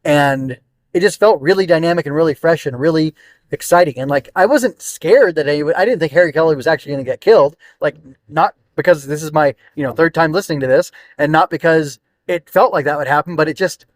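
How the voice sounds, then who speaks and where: Higher-pitched man rambling through a podcast review. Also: quick pace, no echo. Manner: rambling, searching for words, thinking aloud. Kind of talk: casual unscripted review monologue.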